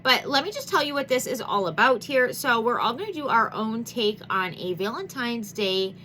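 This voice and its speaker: A woman's voice: mid-range voice, bright, forceful tone, a little hiss or hum. A woman in her thirties chatting as a beauty vlogger, bubbly and rambling, with a congested, nasal voice. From Michigan. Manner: bubbly, gushing, rambling with many fillers. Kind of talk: chatty makeup vlog intro.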